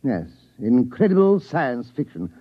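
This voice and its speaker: An actor playing a gruff, pompous and skeptical British colonel, sardonic with his juniors, in a 1950s radio drama. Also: mid-range voice, very animated, a little slow, no room sound. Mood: dismissive. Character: blustery, skeptical British colonel, older superior officer. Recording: muffled, noisy old radio broadcast.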